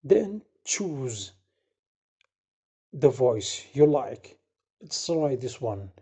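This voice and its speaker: A man narrating a step-by-step software tutorial as the host. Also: mid-range voice, very animated, very slow, breathy edge.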